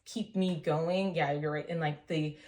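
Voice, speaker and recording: A woman's voice: low-pitched, fairly even intonation, measured pace. A woman, a small business owner, speaking as a guest in a casual interview, earnest and full of ums and likes. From United States. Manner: earnest, warm storytelling with many fillers.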